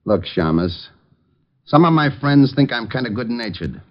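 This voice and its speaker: An actor playing a gruff, menacing gangster boss who talks in ungrammatical tough-guy slang, in an old-time radio drama. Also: mid-range voice, big pitch swings, normal speed, natural voice. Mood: cool menace. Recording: muffled vintage radio recording.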